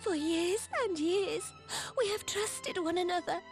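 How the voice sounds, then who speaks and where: A very high, youthful voice as a resolute young sovereign. She speaks with stately, archaic formality, as in a fantasy game cutscene. Music plays underneath. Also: unhurried. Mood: earnest, reproachful. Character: young female sovereign, regal, resolute and earnest. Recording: orchestral music behind the voice.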